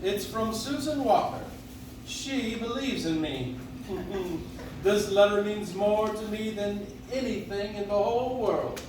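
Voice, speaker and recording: An actor playing a gentle, touched old man who claims to be Santa, in a live radio play recorded from the audience in an echoey room. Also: high-pitched, slow pace. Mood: moved, tender. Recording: noisy, distant audience recording in echoey cafe.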